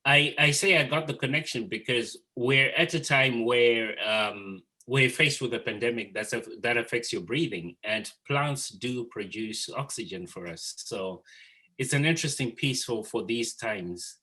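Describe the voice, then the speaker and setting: A man's voice: mid-range voice, a little slow, sharp tone. A man hosting an informal group art discussion, speaking earnestly and affirmingly as he moderates. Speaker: host of an artist talk.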